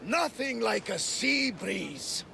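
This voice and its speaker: A weathered ship captain from a video game. He is hearty and theatrical, with a lively swing in his fairly high, gravelly voice. He takes his time over phrases, with music playing behind him. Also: slow pace, full character voice. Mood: cheerful. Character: jovial, weathered male Greek ship captain, middle-aged or older. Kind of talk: video game character acting.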